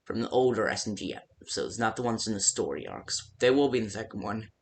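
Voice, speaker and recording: A man with a somewhat low-pitched voice talks through a homemade game guide as if leaving a casual phone message. He speaks in a loose, improvised way. His sentences halt, double back and start over as he rambles along. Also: lively intonation. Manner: rambling, halting, self-interrupting with stammered restarts. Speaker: creator of a fan-made game parody, voicing its phone-guide messages.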